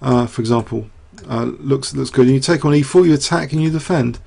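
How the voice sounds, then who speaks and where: Gravelly, husky man analyzing chess. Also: medium pitch, steady tone, brisk, warm tone. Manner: rambling, thinking aloud with many fillers and rhetorical questions. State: losing his voice. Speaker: chess commentator.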